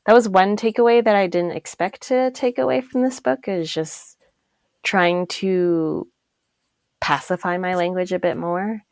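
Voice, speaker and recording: A woman's voice: fairly low pitch, fairly even intonation, unhurried, darkish tone, dry recording. A young woman from Connecticut co-hosting a book club podcast, chatting casually with lots of "like" fillers and easy laughter. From Connecticut, USA. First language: English is her third language. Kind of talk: casual chat.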